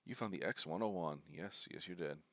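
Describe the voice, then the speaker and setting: A man's voice: low voice, a little fast, bright, forceful tone, no room sound. A man streaming a video game, nasal and stuffed up with a bad cold, rambling and joking as he reacts to the game. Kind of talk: live gameplay commentary. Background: game music.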